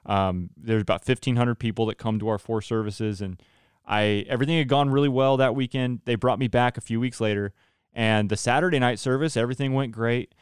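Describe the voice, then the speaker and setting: A man with a clean, smooth voice and a middling pitch hosts a relaxed interview podcast. He talks in a chatty, self-deprecating way and likes to joke. The sound is close and dry. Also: brisk, no echo. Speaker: podcast host; church worship leader. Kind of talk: casual conversational interview.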